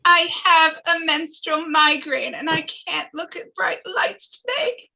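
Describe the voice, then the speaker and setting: A woman's voice: very high-pitched, fairly even intonation, unhurried, gravelly, bright, forceful tone, muffled recording, exaggerated voice. A woman playing a humorless, defiant teenage girl in a table read, with a whiny way of talking. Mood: pained complaint. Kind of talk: live table read acting.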